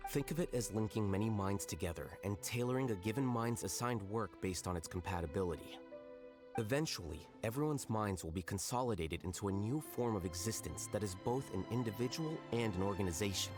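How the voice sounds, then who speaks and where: An actor playing a detached young male scholar in a video game, speaking in a calm, flat, matter-of-fact way. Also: lowish voice, fairly quick, natural voice. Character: aloof, cool-headed male scholar, young adult. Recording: game audio with clear background music.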